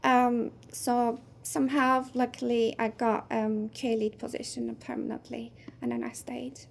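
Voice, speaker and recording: A woman in her thirties whose first language is Turkish, speaking as a guest in a casual interview, soft-spoken and thoughtful with frequent pauses. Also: on the high side, level tone, creaky. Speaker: guest, game producer.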